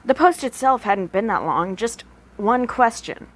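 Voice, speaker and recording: A woman's voice: a little high, lively intonation, measured pace. A woman narrating a story as an amateur audiobook reader, working from a written script. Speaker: podfic reader.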